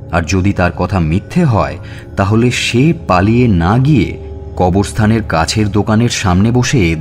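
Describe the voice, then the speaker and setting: A man narrating a scripted suspense story in a measured, expressive, dramatic way. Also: fairly low pitch. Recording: music bed under narration.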